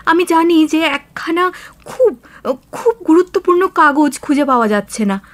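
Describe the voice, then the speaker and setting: An actress playing an anxious, dignified aristocratic wife in a radio drama, speaking formally with earnest urgency. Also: female voice, high voice, animated, normal speed. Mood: earnest, troubled.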